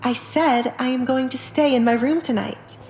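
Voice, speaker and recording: A woman's voice: high voice, fairly even intonation, normal speed, darkish tone, reverberant, faint music behind, pitched above the performer's own voice. A woman voicing a defiant, determined young noblewoman, guarded and stubborn, in dramatized audiobook dialogue. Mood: defiant, firm.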